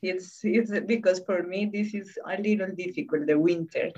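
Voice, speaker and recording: A Colombian woman, a native Spanish speaker, speaking English haltingly in casual conversation, often searching for words. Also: lowish voice, dark tone, muffled recording. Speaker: English learner.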